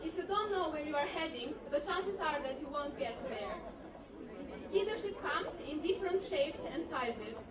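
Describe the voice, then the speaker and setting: A woman's voice: high-pitched, lively intonation, normal speed. A young woman, an alumna, giving an earnest, formal prepared speech at a party, reading from notes. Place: large hall at a party. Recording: echoey hall, mic far off, loud crowd.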